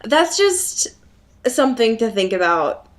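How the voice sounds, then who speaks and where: Female podcast co-host, casual and giggly, animated intonation at an unhurried pace. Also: on the high side, slight background noise. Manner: giggly, conversational. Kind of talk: casual comedic book discussion.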